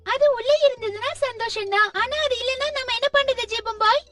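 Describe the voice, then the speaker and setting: Eager schoolgirl, children's cartoon, very high childlike voice, loud music behind. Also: fairly even intonation, brisk. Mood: disappointed, worried. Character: young schoolgirl, sweet, eager, easily disappointed. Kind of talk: Tamil-dubbed children's cartoon acting. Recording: distant sound under loud background music.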